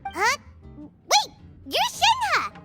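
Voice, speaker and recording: A tiny fairy sidekick chatters away in a squeaky, very high voice that leaps all over the place. She is bubbly, fussy and excitable, and talks about herself in the third person. Game music plays clearly behind her. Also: extremely slow, heavily acted. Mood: chatty. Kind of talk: game cutscene voice acting. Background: background game music.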